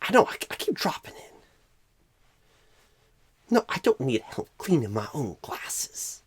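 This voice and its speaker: A man voicing a clueless, flustered job recruiter in an ASMR roleplay, rambling with odd pauses mid-sentence. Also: on the high side, sing-song, slightly rough, no echo, heavily acted. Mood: distracted, flustered. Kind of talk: ASMR roleplay, comic improvised monologue.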